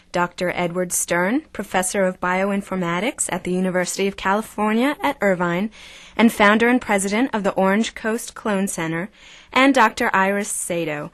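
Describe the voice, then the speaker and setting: An actress playing a radio book-show host in an audio drama, with a formal presenter's delivery. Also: female voice, mid-range voice, no echo, close to an everyday voice. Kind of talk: scripted audio drama interview.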